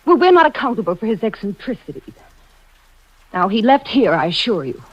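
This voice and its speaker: A woman's voice: on the high side, big pitch swings, a little fast, no echo. A woman acting an anxious, defensive wife in an old-time radio suspense drama. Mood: defensive, agitated. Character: anxious, defensive wife who narrates her suspicions. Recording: dull vintage radio recording with music.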